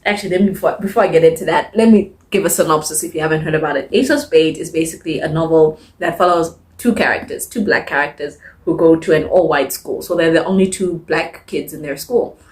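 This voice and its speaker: Woman doing a casual book wrap-up, lowish voice, super expressive and emphatic, repeats herself for effect. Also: fast talker, few pauses. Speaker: book reviewer. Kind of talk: casual chatty monthly reading wrap-up.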